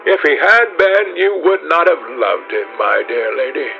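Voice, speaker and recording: A man's voice: on the high side, measured pace, gravelly, highs cut off, soft background music, voice pushed up. A man voicing a witty, teasing old society hostess in an arch, exclamatory manner for a storybook. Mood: playfully scolding.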